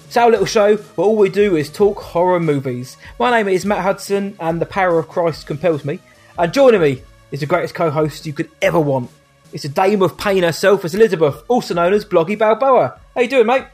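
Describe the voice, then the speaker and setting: A man in his thirties with a British accent, hosting a podcast in a chatty, jokey way, his voice light rather than deep. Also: fairly quick, darkish tone, soft background music. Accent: British English. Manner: chatty, jokey, laughs often. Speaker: podcast host. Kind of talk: casual chat.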